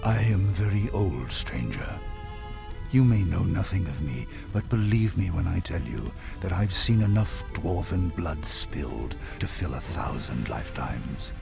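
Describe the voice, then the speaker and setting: An actor playing a very old, weary dwarf king in a video game, speaking gravely and with measured, regretful weight. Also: low-pitched, big pitch swings, heavily acted. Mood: weary, sorrowful. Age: older. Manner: grave, measured, brooding, dramatic pauses. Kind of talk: dramatic video game dialogue. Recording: music under the voice.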